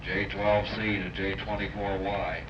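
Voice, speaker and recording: Low curt radio operator, clipped, old muffled recording. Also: male voice, little pitch movement, unhurried, background noise. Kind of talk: 1930s radio acting.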